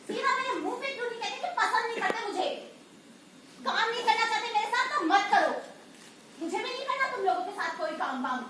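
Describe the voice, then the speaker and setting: A woman playing a bossy, indignant senior circus clown in a stage play, scolding in an exasperated, emphatic way. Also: very high-pitched. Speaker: stage actor. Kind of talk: amateur Hindi stage play acting. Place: indoor stage, recorded from the audience area. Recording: very echoey distant hall, clear noise.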